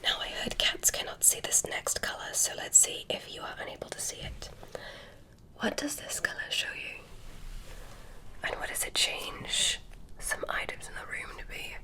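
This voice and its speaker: A woman voicing a curious alien examiner who tests a human, speaking in a soft, clinical ASMR whisper. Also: measured pace, long pauses, close to an everyday voice, rough recording. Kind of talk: ASMR medical roleplay.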